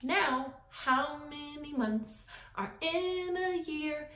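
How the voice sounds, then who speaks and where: An American woman in her thirties speaking as a preschool teacher, animated and encouraging, asking young children simple questions and pausing for them. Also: high-pitched. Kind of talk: children's circle time lesson, addressing young viewers directly. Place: small classroom-style room, indoors. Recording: roomy indoor echo.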